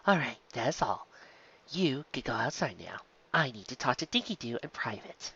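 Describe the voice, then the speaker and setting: A woman voicing a patient, weary female schoolteacher pony in a measured, gently firm way. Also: very deep voice, very animated, dull audio, slight background noise, exaggerated voice.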